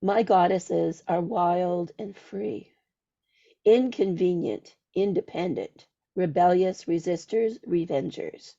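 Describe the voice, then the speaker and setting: Woman, slow, gravelly, squeaky from a cold, podcast host, dull lo-fi sound. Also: warm tone. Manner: slow, deliberate, chanting readings. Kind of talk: casual podcast chat and ritual invocation reading.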